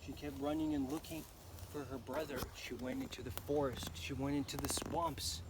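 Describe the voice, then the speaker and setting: A man telling a folktale live to children in an expressive, dramatic manner, pausing to ask his listeners questions. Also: medium pitch. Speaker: storyteller. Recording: noisy live recording, clear background noise.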